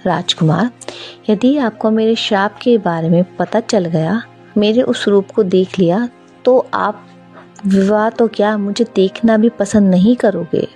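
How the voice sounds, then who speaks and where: A woman voicing a gentle, anxious young princess in a cartoon fairy tale, with formal, pleading delivery. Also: mid-range voice, unhurried, faint music behind, close to an everyday voice. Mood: hesitant, sad.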